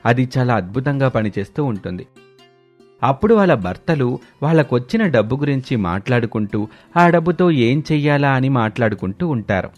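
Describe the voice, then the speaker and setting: A man narrating a family story in an even storytelling tone, with soft music behind. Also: mid-range voice, a little fast.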